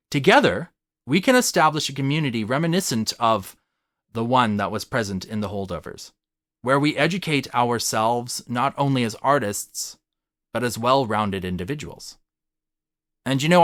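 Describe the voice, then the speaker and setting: A man's voice: mid-range voice, a bit bright, no echo, clean studio sound. A man narrating a scripted video essay to viewers in a formal, articulate and emphatic manner. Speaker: video essayist and theatre artist.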